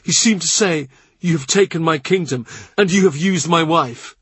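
A troubled, brooding king, voiced by a man in an audiobook, carried higher and fuller than the narration. The tone is husky, set close in a dry booth. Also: normal speed, a bit bright, no room sound. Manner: brooding, troubled, speaks at length. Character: weary anxious middle-aged male king.

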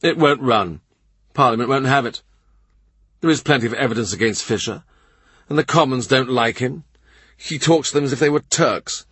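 A man's voice: mid-range voice, normal speed, long pauses, fairly bright tone, breathy edge, no room sound, pitched above the performer's own voice. A man voicing a shrewd middle-aged royal minister who talks in a dry, cutting, sardonic way. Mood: cool, sardonic. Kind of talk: audiobook character reading.

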